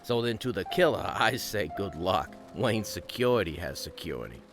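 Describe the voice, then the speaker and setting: An actor playing a gruff, cynical, talkative police detective in an audio drama, sardonic and full of streetwise slang. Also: male voice, low voice, sing-song, moderate pace. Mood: wry, matter-of-fact. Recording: music behind the voice.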